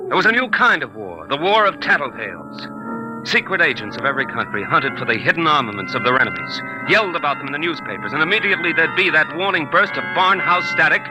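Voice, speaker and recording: An actor playing an earnest, stubborn civilian who also narrates, in a mid-century radio drama. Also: male voice, fairly high pitch, big pitch swings, fast, over music, poor audio. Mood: dramatic narrating. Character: earnest, stubborn civilian narrator, loyal friend of a fugitive professor.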